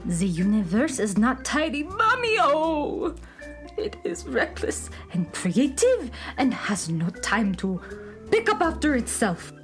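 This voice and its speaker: A woman's voice: high-pitched, wildly swooping pitch, slow pace, soft background music, full character voice. A woman playing a melodramatic beatnik cat-girl from the Moon in a children's radio play, with grand, world-weary pronouncements. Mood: airily defiant.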